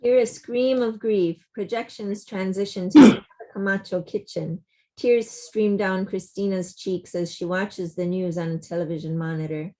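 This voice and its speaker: A woman's voice: mid-range voice, fairly even intonation, moderate pace, dark tone, rough recording. A woman reading stage directions as scripted narration, in a plain, descriptive delivery.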